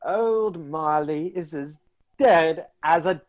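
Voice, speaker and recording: Wry, slow storyteller voice. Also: mid-range voice. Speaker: narrator. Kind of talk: comic radio play narration.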